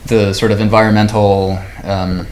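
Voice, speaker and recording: A man, a swimming club president, speaking as a guest in a measured, thoughtful way. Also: on the low side, flat delivery, darkish tone, low-quality recording. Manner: thoughtful, measured, uses fillers. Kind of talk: conversational TV interview.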